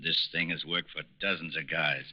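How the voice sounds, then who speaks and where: An actor playing a slick, brusque racketeer of about forty with slangy street talk in a 1940s radio crime drama. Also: male voice, rumbling low, steady tone, normal speed. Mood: smooth, cocksure. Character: slick fortyish male racketeer selling draft-dodging pills. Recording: muffled 1940s radio recording.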